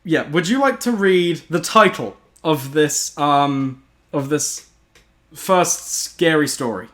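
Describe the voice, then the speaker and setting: A man's voice: fairly high pitch, expressive, slow pace, fairly bright tone. A man hosting a casual group chat, directing his friends in a slightly hesitant way. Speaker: host organising a group story reading.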